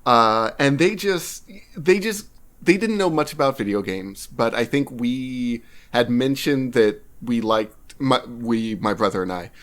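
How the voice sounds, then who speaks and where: A man's voice: mid-range voice, unhurried. A man co-hosting a podcast, chatting casually about games in a wry, self-mocking way. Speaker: podcast co-host. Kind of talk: casual anecdotal game talk.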